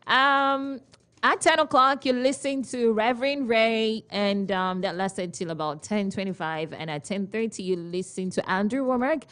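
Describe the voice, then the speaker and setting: A woman's voice: medium pitch, moderate pace, a bit bright, clean tone. Playful Nigerian woman radio host. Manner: playful and chatty, laughs often, asks listeners questions. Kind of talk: casual radio talk show chat. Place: radio studio. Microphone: studio microphone.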